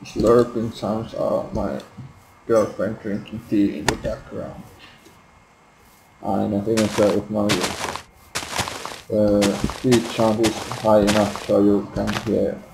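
A young man with a Finnish accent, quietly and hesitantly commenting on his own game play, swearing often, recorded on a noisy laptop microphone at home. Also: fairly low pitch, flat delivery, takes it slowly, creaky, pauses often, roomy sound. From Finland. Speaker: gameplay video maker. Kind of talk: casual gameplay commentary. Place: home room. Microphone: built-in laptop mic.

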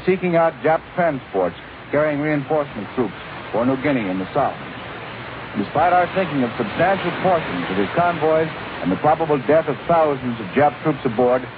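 A man giving a formal, deliberate wartime news report as a 1940s overseas radio correspondent. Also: fairly high pitch, narrow, tinny audio. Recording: noisy, thin 1940s shortwave relay.

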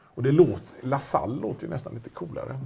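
Husky-voiced man, casual auction chat, meandering delivery. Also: medium pitch, expressive, mellow. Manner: chatty, rambling with restarts and fillers. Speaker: auction specialist presenting a car.

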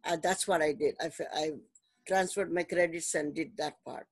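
A woman in her seventies with an Indian English accent and Telugu as her first language, unhurriedly telling her life story as an interview guest over a video call, with many pauses and fillers. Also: sits low, fairly even intonation, breathy edge, no room sound, studio quality. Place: home room, indoors.

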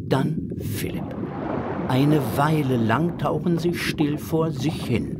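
A man's voice: mid-range voice, very noisy. A man narrating a children's audio drama from a script, in the present tense.

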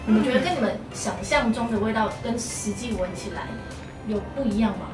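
A young woman with a Taiwanese Mandarin accent, hosting a chatty promotional interview in an expressive, enthusiastic way. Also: on the high side, brisk. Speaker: host. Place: small wood-panelled room indoors. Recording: roomy, distant mic, music behind.

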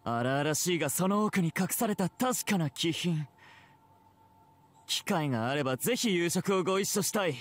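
A man's voice: on the high side, expressive, moderate pace. A man playing an elegant, teasing pirate captain in a game, polite, theatrical and self-assured. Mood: courtly, intrigued. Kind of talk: Japanese game character voice acting.

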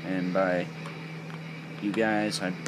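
A man's voice: low voice, little pitch movement, slow pace, very noisy. A young man giving a casual, off-the-cuff build tutorial in a rambling, jokey, self-deprecating way. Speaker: toy build video host.

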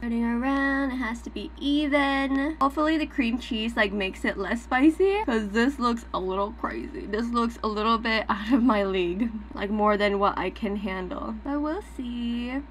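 A woman in her twenties chatting casually as she cooks and eats on camera, full of "like" fillers, sometimes dropping to a soft whisper. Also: fairly high pitch, moderate pace, hardly any pauses, slight background noise. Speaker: mukbang creator. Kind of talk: casual commentary while cooking and eating. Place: small indoor room with dark backdrop.

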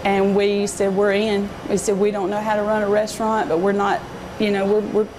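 A woman in her fifties from Alabama with a Southern accent, telling her story in an interview inside a small restaurant, earnest and halting at times. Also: mid-range voice, completely flat delivery, background noise. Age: 50s. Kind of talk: emotional interview. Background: music.

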